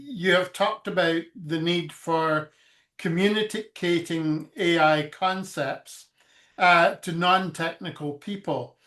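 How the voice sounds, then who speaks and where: A male host puts his questions slowly and hesitantly, filling pauses with "uh" as he goes. His voice sits at a mid pitch. The room around him echoes noticeably. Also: fairly even intonation, a bit bright. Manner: hesitant, many filler sounds. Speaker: interviewer, host. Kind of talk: interview questions.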